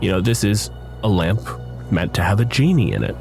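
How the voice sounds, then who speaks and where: A man's voice: fairly low pitch, expressive, brisk, vocal fry, darkish tone, soft background music. A man working as game master, narrating a tabletop game in a casual, conversational, explanatory way.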